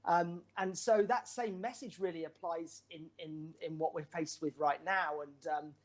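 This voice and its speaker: A man's voice: fairly high pitch, steady tone. A man in his forties, a wellbeing coach, speaking earnestly as a guest, with frequent hesitations. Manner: earnest, halting with fillers. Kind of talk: conversational explaining. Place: brick garden office.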